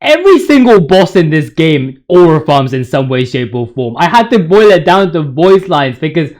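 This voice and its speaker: A young man with a high-pitched voice talks through video games casually. His delivery is hyped and full of slang, rambling along like chat among friends. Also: sing-song, normal speed, a little mellow. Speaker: video host. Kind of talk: casual video game commentary.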